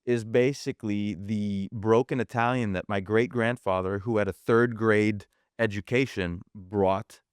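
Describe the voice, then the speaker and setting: A man's voice: fairly low pitch, steady tone, a little slow, smooth, dry recording, studio quality. A man from Quebec co-hosting a podcast, chatting casually and animatedly, switching between English and French. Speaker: podcast co-host. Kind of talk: casual bilingual chat.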